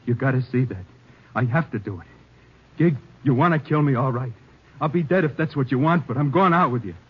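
An American actor playing a soft-hearted young husband, pleading, in a 1950s radio drama. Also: male voice, mid-range voice, a little fast, husky, natural voice. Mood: desperate, resolved. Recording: muffled old radio recording.

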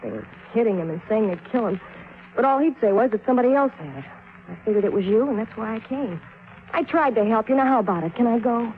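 A woman acting a streetwise, desperate woman caught up in crime, pleading her case in 1950s radio drama style. She talks fast and urgently, through a thin, phone-like vintage recording. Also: on the high side, animated, close to an everyday voice. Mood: anxious, pleading. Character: desperate, defensive small-time woman mixed up in crime.

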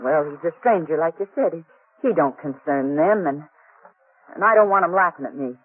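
A woman's voice: deep voice, animated, a little fast, a little hiss or hum. Curt, guarded frontier woman, old radio. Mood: anxious, defensive. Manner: curt, defensive, folksy. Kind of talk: 1950s radio western acting. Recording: dull, dry old 1950s radio recording.

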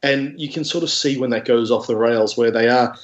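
A man's voice: medium pitch, fairly quick, highs cut off. A man, a guest on a podcast, chatting casually about film.

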